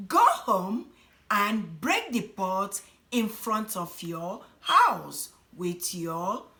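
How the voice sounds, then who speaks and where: Woman voicing a slow, kindly tall monster. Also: mid-range voice, heavily acted. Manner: gentle, fatherly, instructing. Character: tall, kindly male monster comforting a child.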